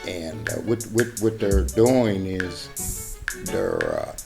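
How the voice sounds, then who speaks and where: A man in his sixties from Pittsburgh, giving halting, filler-heavy opinions in an interview. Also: low voice, wildly swooping pitch, creaky, over music. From Pittsburgh, Pennsylvania. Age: 60s. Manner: hesitant, halting with many fillers.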